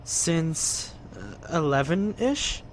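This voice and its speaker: A man's voice: a little high, huge pitch swings, drawn-out words, heavily acted. A man playing a nervous, earnest young survivor in a zombie audio drama, hesitant and stammering. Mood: anxious. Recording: clearly noisy amateur recording.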